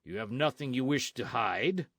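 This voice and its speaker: A man voicing a gruff, blustering army sergeant who makes loud demands. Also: mid-range voice, lively intonation, moderate pace, no room sound, studio quality.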